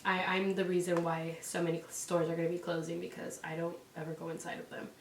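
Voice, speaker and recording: A woman's voice: fairly low pitch, monotone, a little fast, far from the mic, slight background noise. A young woman from California vlogging casually about parenting, rambling with lots of "like" and "um," sounding stuffy and congested from a cold. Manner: rambling, many "like"s and "um"s. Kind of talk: casual chatty vlog. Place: indoors at home. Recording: echoey room, away from mic.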